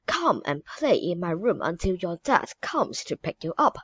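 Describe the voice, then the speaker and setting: A woman's voice: lowish voice, very animated, normal speed, husky, no echo, dull audio. A woman reading aloud, voicing an eager young girl in a storybook.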